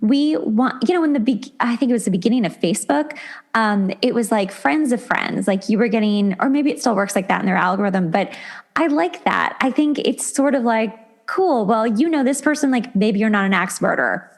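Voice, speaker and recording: A woman's voice: on the high side, lively intonation, fairly quick. A middle-aged woman hosting a podcast, talking casually and conversationally with an effusive, enthusiastic manner. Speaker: podcast host.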